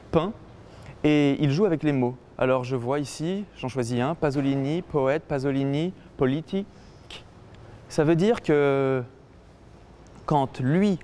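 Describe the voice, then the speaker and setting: A man giving an improvised spoken performance in an exhibition space, talking slowly and reflectively with many pauses. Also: on the high side, mellow, a little hiss or hum. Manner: slow, musing, reflective delivery with many pauses. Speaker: performance artist. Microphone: camera mic.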